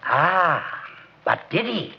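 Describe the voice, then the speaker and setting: An actor playing a fussy, pontificating older small-town judge in a 1940s radio comedy, wordy and orderly, on a muffled, noisy broadcast. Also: male voice, high-pitched, very animated, slow pace, gravelly, exaggerated voice. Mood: earnest.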